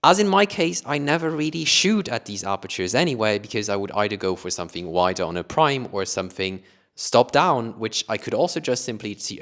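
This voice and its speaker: A man's voice: mid-range voice, a bit bright. A young man from Luxembourg with an international accent shaped by British-taught English, explaining camera gear to his viewers and rephrasing as he goes. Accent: international mix, Luxembourgish with British-taught English. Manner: explanatory, rephrases with "let's say" and "additionally". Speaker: photography YouTuber. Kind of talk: talking to camera about gear.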